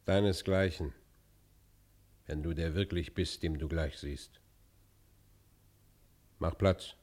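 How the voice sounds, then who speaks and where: A man's voice: low voice, steady tone, takes it slowly, darkish tone. A man playing a tormented, guilt-ridden fugitive outlaw in a German radio play, speaking in an anguished, declamatory literary manner. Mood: defiant, bitter.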